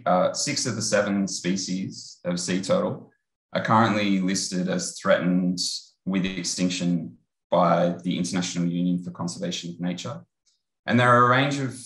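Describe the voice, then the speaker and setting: A man in his thirties with an Australian accent, giving a relaxed online research talk over a video call. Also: low voice, echoey room, poor audio. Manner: relaxed, conversational, with filler pauses. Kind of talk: online lecture presentation. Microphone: earbud headset on video call.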